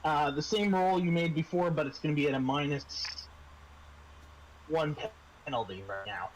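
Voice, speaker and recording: A man's voice: a little high, a little hiss or hum, rough recording. A man working as game master of a tabletop horror game, narrating and explaining rules casually, often repeating his words as he goes. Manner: halting, repeats words and phrases.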